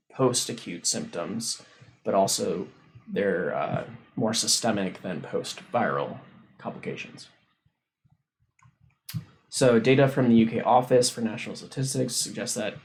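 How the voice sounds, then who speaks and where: A man hosting a science livestream, casually explaining a research paper as he reads, in a halting, informal way. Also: fairly low pitch, creaky, poor audio. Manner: halting, informal, thinking aloud. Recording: noticeable background noise on livestream.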